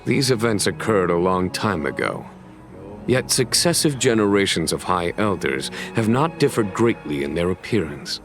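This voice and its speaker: A calm serious general in a game cutscene, really low voice, formal n deliberate, bit of music behind. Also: male voice. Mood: calm, weighty. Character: grave, composed male general, measured and commanding. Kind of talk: scripted video game cutscene acting.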